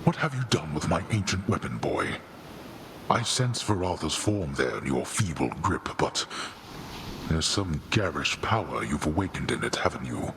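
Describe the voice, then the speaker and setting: A man's voice: a bass voice, very animated, moderate pace, background noise, heavily acted. An actor playing a hulking, stoic bull-man warrior in a video game, speaking in a solemn, formal way. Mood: stern, suspicious. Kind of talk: video game character dialogue.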